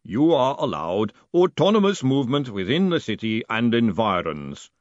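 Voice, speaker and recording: A man voicing a huge, placid clay golem who speaks slowly and deliberately in a deep rumble. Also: big pitch swings, no echo, lightly acted. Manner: slow, deep, rumbling, measured and literal. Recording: dull lo-fi recording.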